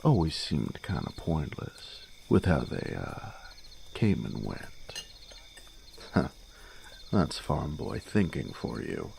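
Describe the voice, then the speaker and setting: A man playing a plain-spoken farm boy turned knight in a fantasy audio drama, gruff but tender, with a folksy rustic drawl. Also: big pitch swings, slow, long pauses, darkish tone, slight background noise, heavily acted. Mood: humble, musing. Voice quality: very deep, gravelly.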